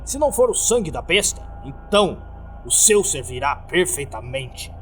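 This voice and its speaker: A man's voice: on the high side, sing-song, moderate pace, faint music behind. A man voicing a scornful, domineering male cult leader who snarls his orders in a horror story. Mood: angry, threatening. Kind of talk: dramatic horror story reading. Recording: faint background noise.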